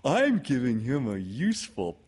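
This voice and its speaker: A man's voice: mid-range voice, huge pitch swings, measured pace, darkish tone, slightly rough, voice pushed up, exaggerated voice. A man voicing an earnest, simple, eager toy bear in a children's storybook, with a hesitant manner. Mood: earnest, shy.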